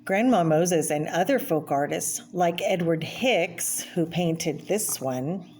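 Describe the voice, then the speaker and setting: A woman's voice: lowish voice, expressive, slow pace, darkish tone. A woman reading a children's book aloud in a plain, explanatory way, as if to young listeners. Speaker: reader of a children's art book. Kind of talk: read-aloud narration with brief personal asides. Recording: clear background noise, homemade read-aloud video.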